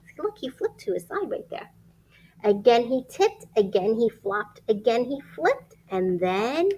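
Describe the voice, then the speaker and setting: A woman reading a picture book aloud to young children, expressive and emphatic, pausing to ask questions and point things out. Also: fairly high pitch, husky, lo-fi sound. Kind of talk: animated children's read-aloud.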